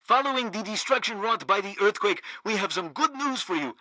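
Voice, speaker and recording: A male state radio host from a comic video game. He is pompous and obsequious, with a lively, swooping delivery and a bright, forceful voice. The sound is dry and close, like a studio announcer. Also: high-pitched, brisk, no room sound, heavily acted, poor audio. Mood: proud. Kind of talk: satirical radio news announcing.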